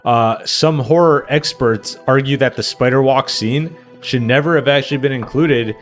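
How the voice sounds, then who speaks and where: A man's voice: mid-range voice, moderate pace, soft background music. A man co-hosting a livestream, explaining things casually and a little hesitantly. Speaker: livestream co-host.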